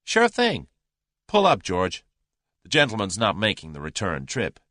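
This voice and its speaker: A man voicing a brash, confident young American man with a brisk, folksy drawl. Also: lowish voice, wildly swooping pitch, bright, forceful tone, no room sound, clean studio sound, exaggerated voice. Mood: brisk, commanding.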